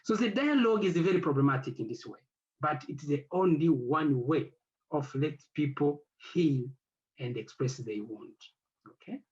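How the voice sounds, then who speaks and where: A man whose first language is French speaks on an academic panel. His voice sits a little high and moves a lot in pitch. He pauses and searches for words, and the recording sounds dull and lo-fi. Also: expressive, a little mellow. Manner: halting, searching for words with many fillers. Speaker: head of an institute.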